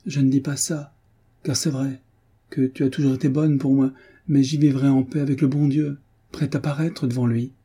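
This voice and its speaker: A man's voice: mid-range voice, level tone, normal speed, warm tone, slightly rough, pitched above the performer's own voice, lightly acted. A man voicing a pious, mournful old peasant grandmother in an audiobook, hesitant and plaintive. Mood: pious, earnest. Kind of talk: audiobook character voice.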